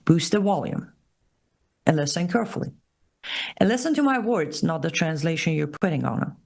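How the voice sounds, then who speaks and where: A woman with an Iranian accent hosting a political commentary show, speaking emphatically and repeating key phrases slowly. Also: deep voice, lively intonation, creaky, a little mellow. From Iran. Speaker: show host.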